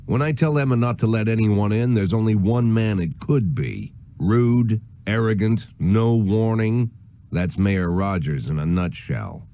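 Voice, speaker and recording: An actor playing a jaded, aging police chief in a video game, with wry, world-weary, deadpan noir delivery. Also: male voice, lowish voice, a little slow, no room sound. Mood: wry, bitter. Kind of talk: noir scripted narration and drama dialogue.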